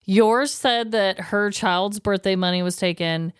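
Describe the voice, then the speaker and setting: A woman's voice: mid-range voice, a little slow, clean tone, dry recording, studio quality. An American woman co-hosting a podcast in casual, informal chat with exclamatory reactions. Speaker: podcast co-host.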